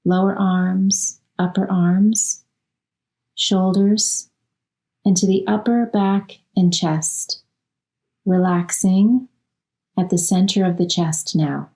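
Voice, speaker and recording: A woman leading a guided relaxation as a yoga nidra instructor, speaking soothingly in short, unhurried phrases with long pauses. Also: medium pitch, steady tone, warm tone, rough recording.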